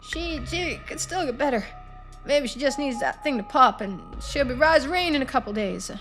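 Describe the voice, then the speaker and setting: A woman voicing an agitated, folksy country man in a game, loud and fretful. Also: sits high, moderate pace, over music, pitched above the performer's own voice. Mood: worried, hopeful.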